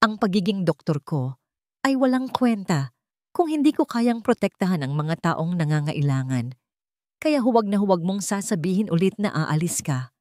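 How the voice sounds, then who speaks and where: A woman's voice: on the low side, expressive, moderate pace, mellow, no room sound, studio quality, lightly acted. A woman with a Filipino accent voicing a determined, protective male doctor in dramatic audio story dialogue, intense and pleading. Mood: fierce determination.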